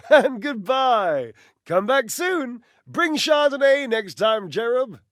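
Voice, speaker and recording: An actor voicing a teasing, flamboyant socialite in a video game, playful, theatrical and gleefully condescending. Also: male voice, extremely high pitch, sing-song, takes it slowly, a bit bright, dry recording, studio quality, exaggerated voice. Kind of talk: video game character acting.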